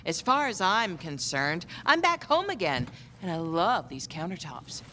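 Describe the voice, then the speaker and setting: A woman's voice: lowish voice, very animated, a little slow, pushed and bright, big-room sound, slight background noise, heavily acted. A woman playing a brash, lustful shop owner in a video game, bossy and theatrical, with a commanding drawl.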